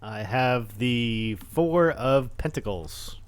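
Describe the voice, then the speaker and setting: A man's voice: mid-range voice, expressive, slow. A man taking part as a player in a tabletop game, chatting casually. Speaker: player on tabletop role-playing show. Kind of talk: casual chat.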